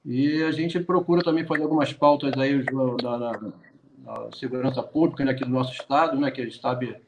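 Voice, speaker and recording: A man in his fifties from Rio de Janeiro, a retired police colonel, talking in a rambling, halting way with many restarts. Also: mid-range voice, steady tone, background noise. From Rio de Janeiro, Brazil. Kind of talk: political livestream talk.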